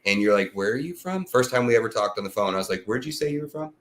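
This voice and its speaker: A man from the American Midwest hosting a casual chat, telling drawn-out stories in a laid-back way with some swearing. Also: mid-range voice, expressive. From American Midwest, born in Tennessee. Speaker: host.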